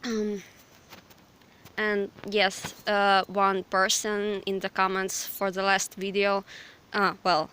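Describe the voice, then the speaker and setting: A hoarse, slightly sick young woman from Ukraine with a Ukrainian accent, chatting hesitantly and apologetically in a forest. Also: mid-range voice, little pitch movement, takes it slowly, fairly bright tone. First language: Russian. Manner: hesitant, apologetic, lots of fillers. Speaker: vlogger. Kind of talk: casual rambling chat. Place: outdoors in a forest.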